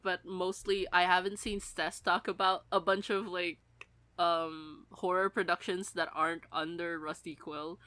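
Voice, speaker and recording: A woman's voice: mid-range voice, bright, forceful tone, no echo. A young woman co-hosting a podcast, talking in an informal, chatty way. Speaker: podcast co-host. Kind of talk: casual chat.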